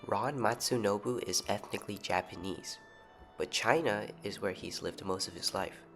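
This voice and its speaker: Gravelly low-voiced male podcast host. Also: normal speed, fairly bright tone. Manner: reads narration evenly, asks short questions. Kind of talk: scripted podcast narration and interview questions. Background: music playing underneath.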